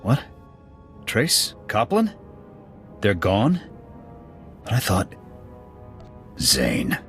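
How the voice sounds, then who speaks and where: An actor playing a gruff, proud military officer in a video game, terse and clipped in delivery. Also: male voice, lowish voice, wildly swooping pitch, drawn-out words, fairly bright tone, heavily acted. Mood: angry, accusing. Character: gruff, proud male military sniper officer, loyal but hot-tempered. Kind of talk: video game dialogue acting. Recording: music behind the voice.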